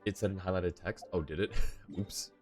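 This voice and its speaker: A man's voice: deep voice, fairly even intonation, normal speed, darkish tone. A man commenting live on a game he plays, muttering to himself and reading on-screen text. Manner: laughs easily, mutters to himself while playing. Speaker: game streamer. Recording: game music behind. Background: game music.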